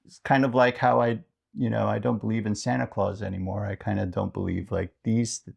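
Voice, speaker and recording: A man with a low, gravelly voice talks on a podcast as co-host and guest author. His delivery is casual and reflective, halting as he works out his thoughts aloud. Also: flat delivery, darkish tone, highs cut off.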